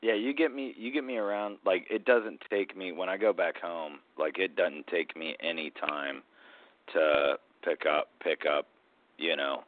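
Low-voiced sarcastic co-host, man. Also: no echo. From Georgia. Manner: halting, lots of fillers and restarts, sarcastic. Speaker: podcast co-host. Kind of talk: casual profane podcast banter. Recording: dry, tinny phone-like sound.

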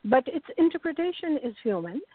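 A woman's voice: sits high, big pitch swings, no room sound. Woman guest on a tinny phone line. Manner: measured, earnest, frequent hesitations. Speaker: guest activist. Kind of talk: radio talk show interview.

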